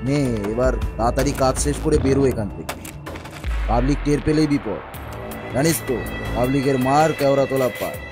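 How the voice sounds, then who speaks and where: Casting: corrupt political kingpin played by a man, medium pitch, commanding and calculating, cartoon villain over prominent music. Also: fairly quick, close to an everyday voice. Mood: callous, commanding. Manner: commanding, slangy. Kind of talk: animated horror cartoon dialogue.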